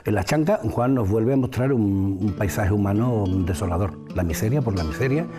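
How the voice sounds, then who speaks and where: A man's voice: lowish voice, expressive, normal speed, over music. A man giving commentary in a documentary.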